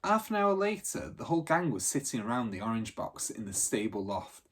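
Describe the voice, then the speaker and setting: A man reading a children's adventure book aloud as a storyteller, in animated narration. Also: medium pitch, brisk, husky.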